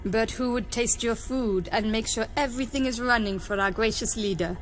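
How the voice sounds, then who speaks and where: A woman's voice: on the high side, moderate pace, slight background noise, lightly acted. A woman playing a sardonic aide, reverberant. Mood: cool, teasing. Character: coolly sardonic female aide to a dictator. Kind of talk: audio drama acting.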